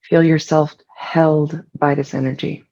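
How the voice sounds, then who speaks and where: A person leading a guided meditation in a soothing, unhurried way with long pauses, relaxed and gravelly with vocal fry. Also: mid-range voice, dull audio.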